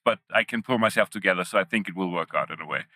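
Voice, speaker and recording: Man chatting, bright and stammering. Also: low-pitched, rapid-fire, no echo, studio quality. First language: Austrian German. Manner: stammering restarts, self-deprecating humor. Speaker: guest. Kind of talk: casual conversation.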